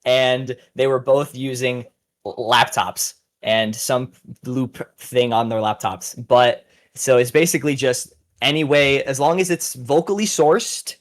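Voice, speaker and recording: A man with a mid-pitched voice talks as the host of a podcast. His commentary is casual and informal, with an enthusiastic way of presenting competitors. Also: a little slow.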